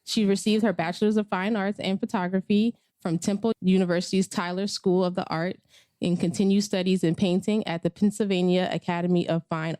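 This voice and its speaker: A woman's voice: mid-range voice, warm tone, clean tone, dry recording, clean studio sound. A woman hosting a podcast, reading a guest introduction and then chatting casually and laughing with her guest. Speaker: podcast host.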